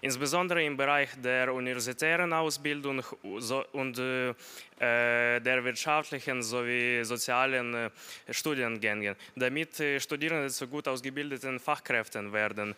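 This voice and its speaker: A man's voice: medium pitch, fairly even intonation, moderate pace, pushed and bright, clear, resonant tone. A young man from Ukraine asks a formal, polite question at a town hall, hesitating often. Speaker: audience member asking a question.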